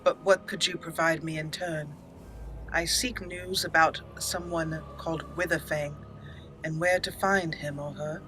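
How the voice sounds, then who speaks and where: A woman hosting a game playthrough, reading her character's lines aloud with deliberate, theatrical, questioning phrasing. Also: low voice, steady tone, a bit bright, soft background music, poor audio. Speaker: gaming channel host, role-playing her character.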